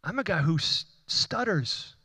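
A man's voice: high voice, animated, unhurried, sharp tone, husky, no room sound, clean studio sound. A man from Houston preaching a sermon as pastor, building emphatic repeated phrases with rising intensity. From Houston, Texas. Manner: impassioned, repetitive preaching with rising emphatic runs. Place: church.

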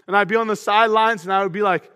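Man, pastor, loud energetic sermon, emphatic, high voice, long reverb from a big hall. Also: very fast, clear, resonant tone. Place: church auditorium.

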